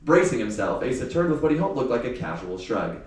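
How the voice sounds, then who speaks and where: A man's voice: mid-range voice, big pitch swings, a little fast. Echoey, distant man reading a novel aloud. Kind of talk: expressive audiobook-style read-aloud of youth fiction. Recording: echoey room, distant mic, faint noise.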